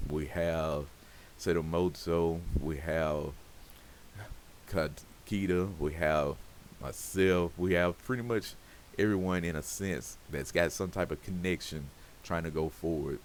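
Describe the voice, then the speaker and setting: A man giving loose, rambling commentary on his game while it plays, his voice scratchy and his talk full of fillers. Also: very deep voice. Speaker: game streamer. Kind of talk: casual gameplay commentary.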